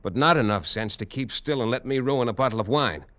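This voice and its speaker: A man's voice: medium pitch, a little fast, a bit bright, lightly acted. An actor playing a shrewd, sardonic lawman in 1940s radio drama, with a controlled and knowing manner.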